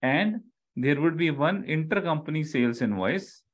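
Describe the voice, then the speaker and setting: A man's voice: a little high, lively intonation, normal speed, husky. A middle-aged man with an Indian accent, an online instructor explaining step by step, repeating key phrases and checking in with "okay" and "right". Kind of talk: live online lecture.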